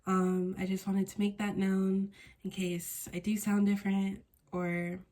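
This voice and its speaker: A stuffy-nosed woman hosts a casual tarot reading in a mellow, flat tone. She meanders, searching for words and revising herself mid-thought. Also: mid-range voice, measured pace. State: congested, stuffy nose. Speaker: tarot card reader.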